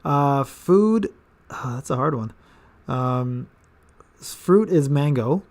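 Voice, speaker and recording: A man's voice: mid-range voice, lively intonation, slow pace, darkish tone. A man in his thirties hosting a casual live-stream Q&A, rambling with repeated words and fillers. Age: 30s. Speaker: gaming channel host.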